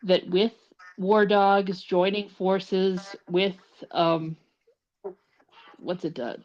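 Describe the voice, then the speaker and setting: A woman's voice: mid-range voice, fairly even intonation, very slow, darkish tone, muffled recording. A woman on a casual podcast panel, speaking hesitantly with many fillers. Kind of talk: casual chat.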